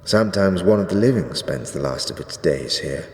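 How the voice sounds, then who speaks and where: A man playing an ancient, zealous skeleton high priest in a fantasy audio drama, speaking gravely in archaic, ceremonious phrases. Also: expressive, darkish tone. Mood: measured, somber. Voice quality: deep, drawn-out delivery. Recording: echoey reverberant hall, faint noise.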